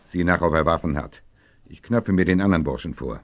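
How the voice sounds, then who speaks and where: An actor playing a brisk, authoritative, wry policeman in a German children's radio play. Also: low-pitched, natural voice. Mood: commanding. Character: brisk, commanding policeman arresting crooks. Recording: dull 1970s audio drama recording.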